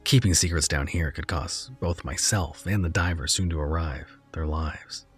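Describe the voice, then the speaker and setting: A man narrating a first-person horror story in a measured, somber way. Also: very deep voice, breathy edge, soft background music.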